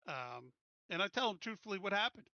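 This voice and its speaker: A man playing a tabletop roleplaying game, talking casually in a halting, blunt and sweary way. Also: sits high, sing-song, gravelly, bright, forceful tone, no room sound, dull audio. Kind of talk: casual in-game improvised chat.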